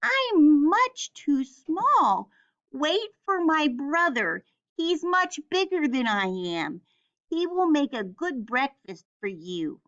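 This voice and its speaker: A tiny, timid young billy goat, voiced by a woman speaks in a very high little voice. The delivery is meek and pleading, with slow, stretched words, as in a storybook. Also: sing-song, fairly bright tone, muffled recording, exaggerated voice. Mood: frightened pleading.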